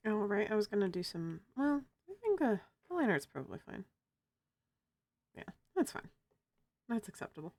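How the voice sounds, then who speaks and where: A feminine-voiced streamer chatting casually while drawing, giggling often and cracking silly jokes. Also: female voice, mid-range voice, sing-song, moderate pace, long pauses, a little mellow. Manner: giggly, jokey, laughs often.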